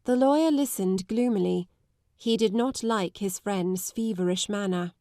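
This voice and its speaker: A woman giving a measured, articulate narration of a literary audiobook. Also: medium pitch, dark tone, no echo, clean studio sound.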